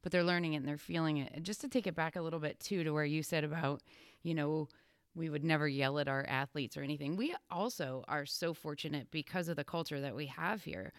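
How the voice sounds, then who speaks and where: A woman's voice: sits low, quick pace, smooth, no echo, studio quality. A woman co-hosting a podcast as a youth dance coach, speaking in a supportive, thoughtful way. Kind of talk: casual podcast conversation.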